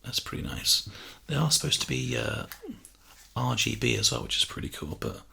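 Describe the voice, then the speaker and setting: A man doing a casual, reassuring ASMR sales role play, speaking softly and chattily into a close binaural microphone. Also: mid-range voice, normal speed. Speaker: ASMR performer playing a personal shopper. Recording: close binaural mic, clear background hiss.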